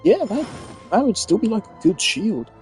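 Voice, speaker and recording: A man's voice: sits high, big pitch swings, normal speed, darkish tone. Casual man rambling over game music. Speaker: game streamer. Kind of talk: casual rambling gaming commentary.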